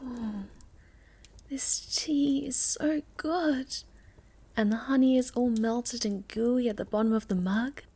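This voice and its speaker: A woman playing a sweet, shy, gentle moth-girl monster in a soft, halting ASMR roleplay, often slipping toward a whisper. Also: on the high side, husky, heavily acted. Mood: cozy, shy.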